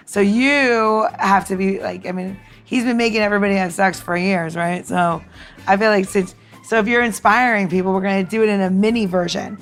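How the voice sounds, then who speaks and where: A woman co-hosting a podcast, joking playfully and acting out little quotes in casual chat. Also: medium pitch. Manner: playful, jokey, acts out quotes. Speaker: podcast co-host making cocktails.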